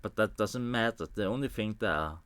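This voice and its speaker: A man from Denmark with a Danish accent and Danish as his first language, thinking aloud in halting English with small grammar slips as he walks through editing a photo on a live stream. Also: lowish voice, fairly bright tone, smooth, no echo. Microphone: desk microphone.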